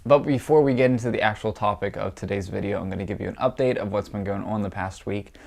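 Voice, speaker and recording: A man's voice: on the low side, fast talker, gravelly, darkish tone. A young man talking in casual political commentary, congested and nasal from allergies, opinionated and scornful with many fillers. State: congested, stuffy nose from allergies.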